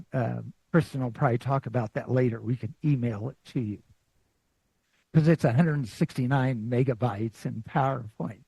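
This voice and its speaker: Husky-voiced man giving a slow, rambling talk. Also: fairly high pitch, no room sound, rough recording. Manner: relaxed, rambling, many fillers and restarts; self-deprecating. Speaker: guest lecturer. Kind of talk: public science talk with slides.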